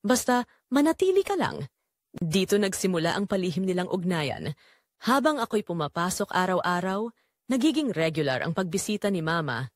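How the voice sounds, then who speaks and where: A woman's voice: lowish voice, lively intonation, moderate pace, no room sound, clean studio sound. A woman giving a dramatic, scripted first-person narration as the storyteller of an audio drama.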